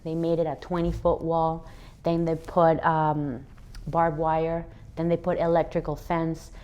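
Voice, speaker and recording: A woman's voice: sits low, level tone, a little slow, a little mellow. A woman in her thirties from Venezuela, answering interview questions in an earnest, reflective way with frequent restarts. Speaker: interview guest, athlete and fitness educator.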